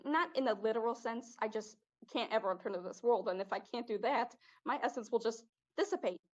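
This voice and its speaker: A woman's voice: on the high side, brisk, lo-fi sound, close to an everyday voice. A young woman playing a jaded male spirit in a girl's body, talking streetwise in a student stage play. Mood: resigned, gruff. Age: young adult. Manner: streetwise, drops grammar, calls listener kid. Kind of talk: student one-act stage acting.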